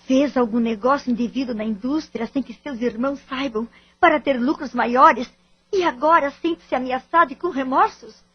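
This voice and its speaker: Actress as a high-voiced, demanding betrayed Brazilian wife. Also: female voice, normal speed, husky. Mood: anxious, shocked. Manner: insistent, interrogating, formal diction. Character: betrayed, determined wife and mother, anxious and demanding. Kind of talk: audio drama acting in formal dialogue. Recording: dull lo-fi audio with music.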